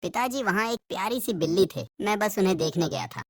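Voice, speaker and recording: A woman voicing a curious, stubborn young village boy in a cartoon, pleading and insistent in a high child voice. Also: brisk, dry recording, studio quality, lightly acted. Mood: innocent. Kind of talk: Hindi cartoon dubbing.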